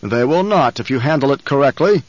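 Brief: a man voicing a scheming tyrant baron, slow, deliberate, loud menace. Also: animated, no echo, close to an everyday voice. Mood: menacing. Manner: slow, rumbling, roaring commands. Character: menacing obese older male baron, cruel and scheming. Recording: dull, dry studio recording.

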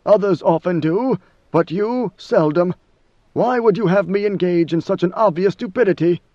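A cold, imperious god-emperor, voiced by a male narrator, speaking with lofty, measured command. The voice sits high. The recording is dry and slightly muffled. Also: no room sound, close to an everyday voice.

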